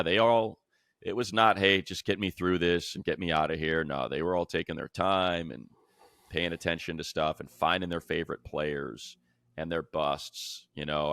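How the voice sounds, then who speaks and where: A male sports announcer chats casually and with enthusiasm about football. His voice sits very low with a gravelly fry, and it is recorded dry and close. He talks in a colloquial, conversational storytelling way. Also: a bit bright, no echo. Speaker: sports show host and football play-by-play announcer.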